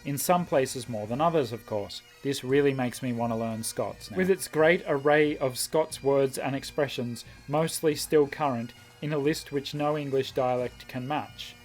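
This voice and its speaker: A man's voice: mid-range voice, moderate pace, hardly any pauses, soft background music. A man vlogging as he reads passages from a book aloud, commenting in between and reacting with surprise and laughter. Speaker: language vlogger.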